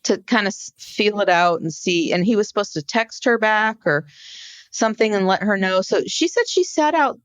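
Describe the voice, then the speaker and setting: A woman co-hosting a podcast, explaining a case conversationally in an informal, halting storytelling way. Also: mid-range voice, animated, clear, resonant tone, dry recording. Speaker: podcast co-host. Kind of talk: conversational true-crime case discussion.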